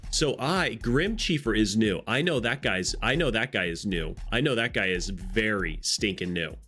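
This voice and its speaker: Brief: stuffy-nosed male streamer with a lowish voice, chatty, shouting out viewers, soft music underneath. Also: animated, normal speed. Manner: chatty, greets viewers by name, rambling asides. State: congested nose. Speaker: live streamer greeting chat. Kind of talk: casual live stream chat.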